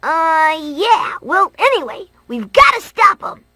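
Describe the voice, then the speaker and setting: A woman's voice: very high-pitched, big pitch swings, slow, sharp tone, dry recording, clean studio sound, soft background music, exaggerated voice. A woman voicing a plucky, eager boy hero in a cartoon video game, with a breezy kid-like manner. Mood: casual.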